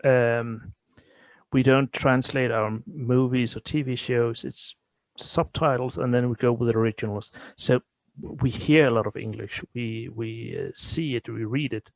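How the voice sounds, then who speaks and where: A middle-aged Danish man talks casually in English, his Danish accent plain as he stops, repeats a word and starts again. His voice sits at an ordinary pitch for a man. The recording is close and dry, with no room sound. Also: steady tone, vocal fry, no echo. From Denmark. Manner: halting, repeats words while searching for English phrasing. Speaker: interview guest. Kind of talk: casual conversation.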